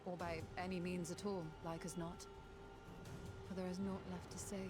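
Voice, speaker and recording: An actress playing a grave, knowing sorceress in a video game, speaking in measured, archaic, formal phrases. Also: female voice, on the low side, flat delivery, lightly acted. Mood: grave, somber. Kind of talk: dramatic video game voice acting. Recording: music behind the voice.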